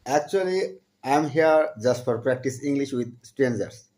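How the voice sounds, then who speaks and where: A man's voice: medium pitch, noisy background. Young Bangladeshi man learning English. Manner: halting learner's English, eager, repeats words. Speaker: channel host practicing English with strangers. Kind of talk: casual video chat with a stranger. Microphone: video call.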